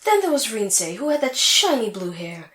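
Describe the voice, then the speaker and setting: Haughty, high-voiced anime sorceress, echoey. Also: female voice, big pitch swings, normal speed, pushed and bright. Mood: boastful, haughty. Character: vain, haughty young female sorceress rival from an anime. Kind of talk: comedic anime fan audio drama acting.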